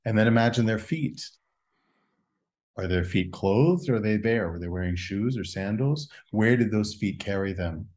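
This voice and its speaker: Deep-voiced man musing softly. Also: lively intonation, moderate pace. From United States. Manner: musing, asks a string of rhetorical questions. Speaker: guest. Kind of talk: reflective spiritual conversation.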